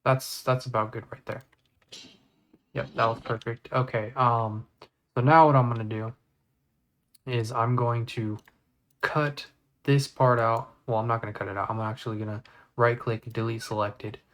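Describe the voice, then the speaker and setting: A man giving a casual step-by-step software tutorial, informally thinking aloud as he works. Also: medium pitch, flat delivery, normal speed, pauses often. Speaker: tutorial creator. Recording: clear background noise, mouse clicks.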